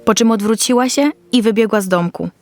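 A woman's voice: on the high side, fairly quick, darkish tone. A woman storyteller reading a scripted Christmas fairy tale for children.

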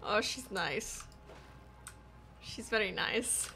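A woman livestreamer giving casual, animated, slangy commentary while playing a game. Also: mid-range voice, extremely slow, faint music behind. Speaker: gaming livestreamer. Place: small indoor room at home.

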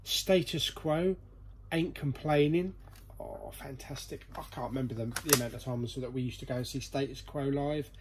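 A man's voice: mid-range voice, moderate pace, background noise. A man hosting a casual vlog in a jokey, chatty way as he sorts through a collection. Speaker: vlog host. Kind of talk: casual chat while going through a collection. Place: indoor storeroom full of boxes.

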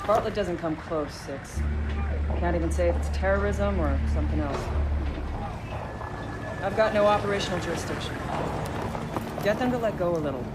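An actress playing a brisk, resolute special forces commander in a video game cinematic. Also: female voice, lowish voice, very noisy, close to an everyday voice. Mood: urgent. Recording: loud music under the voice.

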